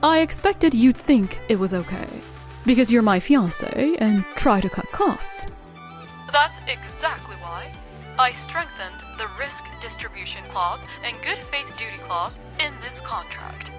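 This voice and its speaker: A woman's voice: fairly high pitch, lively intonation, a little slow, faint music behind. A woman playing a cool, uncompromising young businesswoman in a manga dub, speaking formally and firmly. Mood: stern. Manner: formal, firm.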